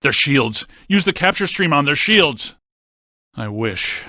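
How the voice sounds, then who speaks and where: A man playing a brainy, deadpan scientist ghost hunter in a video game, with precise technical wording. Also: on the high side, slow pace, no room sound, clean studio sound, full character voice. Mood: urgent, alarmed. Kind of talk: scripted video game voice acting.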